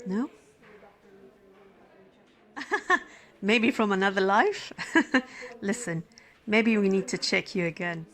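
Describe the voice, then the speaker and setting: A woman's voice: mid-range voice, lively intonation, slow pace, long pauses, fairly bright tone. A woman playing a caring, smitten emergency physician in an intimate ASMR roleplay, speaking tenderly and soothingly. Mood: shy, affectionate. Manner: tender, soothing, flustered, dropping into breathy whispers. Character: caring, smitten female emergency physician tending a crash patient. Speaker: roleplay voice performer. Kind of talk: ASMR roleplay acting, intimate one-sided dialogue.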